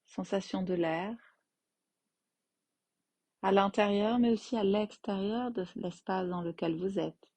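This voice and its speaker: A woman guiding a yoga nidra relaxation as its teacher, in gentle, unhurried phrases with long pauses. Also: mid-range voice, no room sound. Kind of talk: guided meditation, slow soothing instruction.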